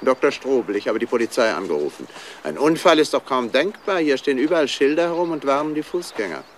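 An actor playing a courteous, measured doctor and gracious host in a crime radio drama. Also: male voice, medium pitch, expressive, close to an everyday voice. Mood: composed. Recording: roomy, noisy old drama recording.

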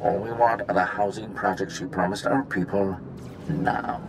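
A man's voice: lowish voice, fairly even intonation, normal speed. A man playing a menacing, insistent gangster in a video game, through a transmission filter. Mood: threatening. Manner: threatening, insistent. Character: menacing male gangster making demands. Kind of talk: video game cutscene acting. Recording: filtered message with clear noise.

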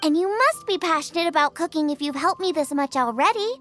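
A cheerful young girl chef from a video game, with a very high, highly animated voice. She is bubbly, eager and generous, full of passion as she speaks. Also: fairly quick, faint music behind. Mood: encouraging. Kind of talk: video game character acting.

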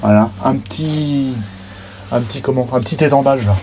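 A man giving a casual, halting walkthrough of a camper van, full of fillers as he points things out, recorded inside the van on a body-worn camera. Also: medium pitch, noisy background. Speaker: vlogger touring a camper van.

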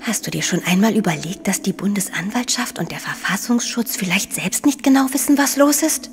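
An actress playing an anxious but cheeky female journalist in a crime audio drama, expressive and agitated. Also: medium pitch, fairly quick, faint music behind, natural voice. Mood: hushed, worried. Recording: reverberant room sound.

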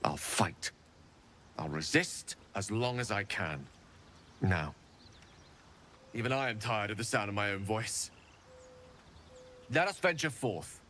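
An actor playing an eloquent, self-deprecating wizard in a video game, speaking in a theatrical, articulate way. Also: male voice, fairly low pitch, animated, takes it slowly, pauses often, a little hiss or hum, full character voice. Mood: grateful. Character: wizard man, eloquent, wry, melancholy. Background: soft game music.

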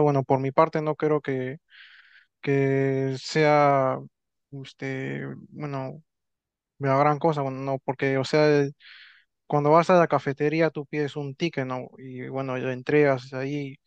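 A man's voice: mid-range voice, clean tone, no echo. A young man giving his opinion hesitantly, full of fillers, on a video call. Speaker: focus group participant. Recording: slightly dull video-call audio.

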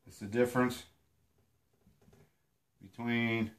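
A man in his thirties, hoarse and sniffling from a cold, giving a rambling, self-deprecating whiteboard lesson at home. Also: mid-range voice, flat delivery, slow pace, a little hiss or hum. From Illinois. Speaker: outdoors channel host. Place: small room at home, indoors.

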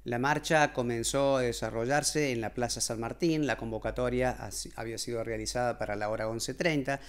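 A man in his fifties with an Argentine accent, reading the news in a formal, steady way from a television studio desk mic. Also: mid-range voice, fairly quick, smooth. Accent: Argentine Spanish. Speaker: news presenter. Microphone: desk microphone.

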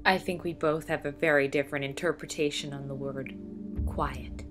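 A woman's voice: sits low, fairly even intonation, normal speed, fairly bright tone. An actress playing a steely, confrontational mother and reporter in an amateur audio drama. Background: clear background music.

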